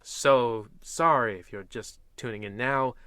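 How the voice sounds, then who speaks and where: A man's voice: medium pitch, measured pace, sharp tone, clear, resonant tone, no room sound. A man playing the droll host of an old-time radio mystery series, addressing listeners with tongue-in-cheek theatricality.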